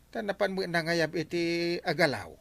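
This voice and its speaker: An actor with an Ilocano accent playing a boastful, jolly village captain in a radio comedy drama, exclaiming and chuckling. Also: male voice, a little high, expressive, normal speed, gravelly, a bit bright, no room sound, heavily acted. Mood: teasing.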